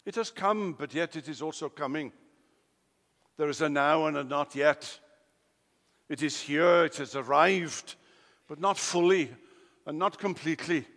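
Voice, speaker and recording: Welsh-accented male preacher, fairly high-pitched, emphatic, loud then hushed, long hall reverb. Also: measured pace, long pauses. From Wales. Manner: emphatic, swings between loud declarations and quiet asides. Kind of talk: expository sermon.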